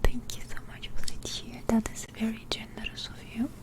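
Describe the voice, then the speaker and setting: A woman with a Russian accent hosting an ASMR livestream in a soft, breathy whisper, speaking deliberately and repeating phrases. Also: on the high side, completely flat delivery.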